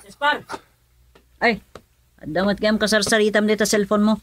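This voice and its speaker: A woman's voice: mid-range voice, level tone, normal speed, a little hiss or hum, natural voice. A woman with an Ilocano accent playing a chatty, nosy woman in a radio drama.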